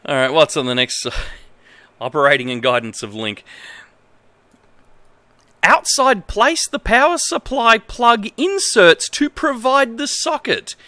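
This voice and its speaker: Animated, bright-voiced Australian man streaming. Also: high-pitched, dry recording. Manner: halting, exaggerated read-aloud delivery with incredulous asides. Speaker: livestreamer. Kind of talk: reading aloud with amused livestream commentary. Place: indoor home streaming setup.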